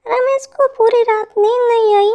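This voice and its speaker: Female children's story narrator, extremely high childlike pitch, slow delivery. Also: steady tone, mellow.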